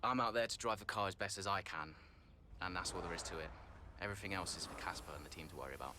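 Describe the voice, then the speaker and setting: A man's voice: low voice, fast talker, noisy background, natural voice. An actor playing a guarded, dryly wry male racing driver who gives hesitant, diplomatic interview answers in a game. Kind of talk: post-session interview answers in a game cutscene.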